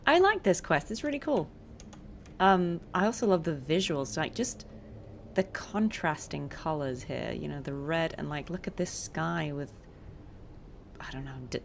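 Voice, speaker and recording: A woman's voice: low voice, animated, a little slow, gravelly, slight background noise. A woman game streamer giving casual, reflective livestream commentary, thinking aloud as she plays.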